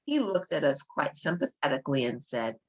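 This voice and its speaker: A woman's voice: sits low, sing-song, fairly quick. A woman reading a children's novel aloud as a storybook narrator. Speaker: storybook reader.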